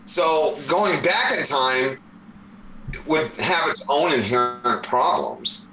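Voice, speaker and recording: A man's voice: medium pitch, very animated, takes it slowly, sharp tone, a little hiss or hum. A man in his forties in a casual debate chat, explaining his points at length. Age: 40s. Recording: roomy echo.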